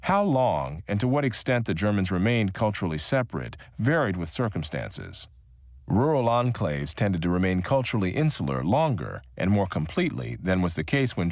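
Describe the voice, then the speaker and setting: A man reading a history audiobook in a measured, even-toned narration. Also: deep voice, dry recording, studio quality.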